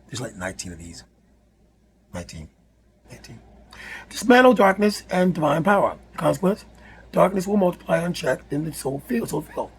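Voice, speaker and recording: A man with a raspy, hoarse voice, reading a numbered list aloud with casual asides, often stumbling and rereading words. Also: on the high side, a little hiss or hum. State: hoarse from yelling. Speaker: spiritual video host.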